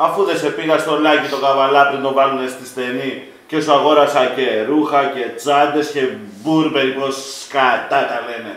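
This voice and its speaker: A man's voice: mid-range voice, steady tone, few pauses, a bit bright, heavy reverb, recorded from a distance, close to an everyday voice. A man playing a sweet-talking nightclub owner husband in a comedy sketch. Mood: coaxing.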